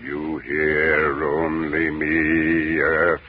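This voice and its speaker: An actor playing a sinister alien monster in a 1940s radio horror play, speaking in slow, hypnotic commands. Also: male voice, extremely low pitch, monotone, exaggerated voice. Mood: hypnotic menace. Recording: muffled scratchy 1940s radio recording, distant.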